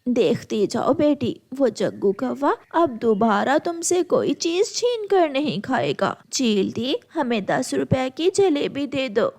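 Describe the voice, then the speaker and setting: A woman voicing an elderly grandmother bird in a children's cartoon, protective, reassuring and quietly scheming. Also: high-pitched, big pitch swings, slow, hardly any pauses, heavily acted.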